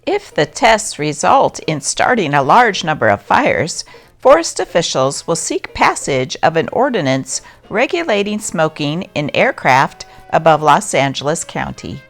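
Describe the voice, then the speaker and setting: A woman's voice: sits low, very animated, a little slow, gravelly, faint music behind. A woman narrator giving a scripted reading of old newspaper stories.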